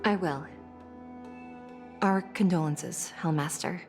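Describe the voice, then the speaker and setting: Slow, grave, deferential woman attendant. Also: lowish voice, faint music behind. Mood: somber. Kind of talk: English video game voice acting.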